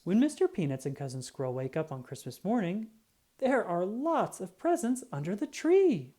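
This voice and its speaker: A woman reading a children's storybook aloud in an expressive, unhurried way. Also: medium pitch. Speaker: children's book reader.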